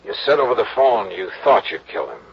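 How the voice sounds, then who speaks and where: A man's voice: lowish voice, sing-song, fairly quick, breathy edge, full character voice. An actor playing a wry, persistent male insurance investigator in a 1950s radio drama, with dry, clipped, skeptical questioning, on a dull old broadcast recording. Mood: calm questioning.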